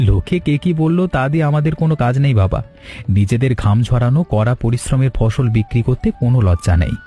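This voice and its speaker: Man as earnest, relaxed-toned young villager. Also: mid-range voice, quick pace, faint music behind, natural voice. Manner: respectful, earnest, reassuring. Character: dutiful, hardworking young son-in-law in a village. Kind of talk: cartoon story dialogue.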